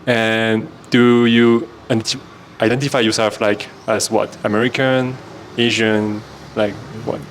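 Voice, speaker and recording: A man's voice: on the low side, flat delivery, measured pace, slight background noise. A man hosting a podcast, asking friends questions over drinks in a loose, searching way with many fillers. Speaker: podcast host. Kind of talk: casual conversation, asking questions.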